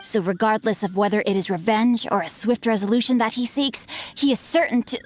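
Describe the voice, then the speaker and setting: A woman's voice: fairly high pitch, a little fast, a little mellow, soft background music, lightly acted. A woman voicing a studious, refined teenage boy with a mischievous streak in a video game, eloquent and theatrical. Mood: smug, calm.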